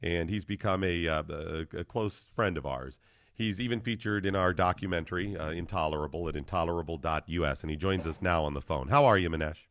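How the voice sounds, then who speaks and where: A male talk radio host with a fairly low voice speaks in a studio. He is effusive and tends to repeat his words as he starts each thought. The sound is dry and close to the mic. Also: a little fast, a bit bright, no room sound.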